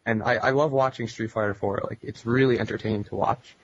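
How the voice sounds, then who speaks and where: A man's voice: lowish voice, steady tone, quick pace, dull audio. A man chatting casually on a podcast. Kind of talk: casual chat.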